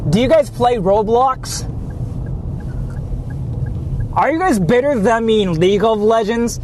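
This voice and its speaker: A man's voice: very high-pitched, moderate pace. A man in his thirties from Japan, chatting casually and crudely with viewers while driving. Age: 30s. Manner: rambling, profane, joking with viewers. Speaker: vlogger. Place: inside a car on city streets. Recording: road noise in a car.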